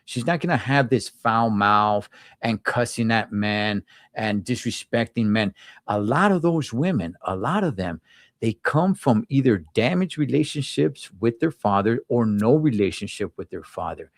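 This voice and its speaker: A man's voice: mid-range voice, lively intonation, unhurried, dry recording, clean studio sound. A man giving emphatic, opinionated views in a casual panel chat, often repeating words as he goes.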